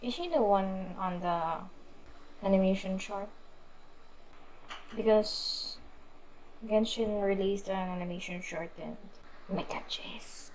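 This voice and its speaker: A woman game streamer giving casual live commentary in a low-key, musing way. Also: slow pace, long pauses, a little mellow, slight background noise, poor audio. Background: faint game music.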